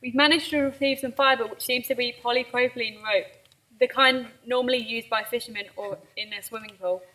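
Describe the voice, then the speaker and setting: A woman's voice: on the high side, steady tone, brisk, pushed and bright, low-quality recording. A woman playing a matter-of-fact pathologist in an amateur radio drama, clinical and unemotional. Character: matter-of-fact female pathologist reporting post-mortem findings.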